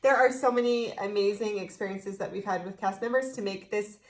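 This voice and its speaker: A woman's voice: mid-range voice, brisk, echoey room. A young adult American woman co-hosting a video in an informal, conversational way. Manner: informal, peppered with "like". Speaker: co-host of a theme park video channel. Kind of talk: casual chat.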